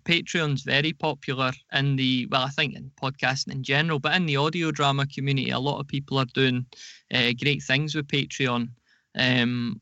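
A man giving casual, explanatory interview answers as a podcast guest, speaking in a measured way with some hesitation. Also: mid-range voice, sharp tone, no room sound, muffled recording. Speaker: podcast guest, audio drama producer.

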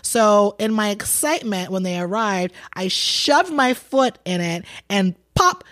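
A woman in her thirties trades fashion gossip on a podcast. She speaks lively and playful and slips into little voices for the people in her stories. The sound is dry and close, with no room around it. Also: mid-range voice, a little slow, dry recording. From Boston, raised in Atlanta. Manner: animated storyteller who acts out quoted dialogue, playful and gossipy. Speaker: podcast guest, actress. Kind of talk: casual podcast chat.

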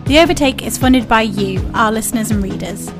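A woman's voice: a little high, brisk. A woman announcer reading a scripted podcast outro. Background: loud electronic music.

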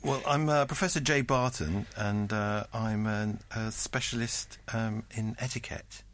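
A man's voice: on the low side, fairly even intonation, measured pace, fairly bright tone, slight background noise, voice pushed down. A man voicing a hesitant male etiquette professor who halts with fillers.

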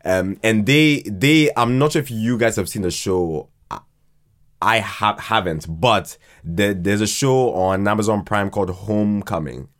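A man chatting casually as a podcast guest, haltingly, repeating words as he thinks. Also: lowish voice. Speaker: podcast guest, fiction podcast creator. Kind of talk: casual conversational interview.

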